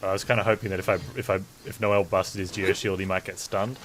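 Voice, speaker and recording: A man's voice: low voice, level tone, fast, a bit bright, a little hiss or hum, poor audio. A man casually chatting and thinking aloud as a game streamer, with game music behind him. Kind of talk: casual live gameplay commentary.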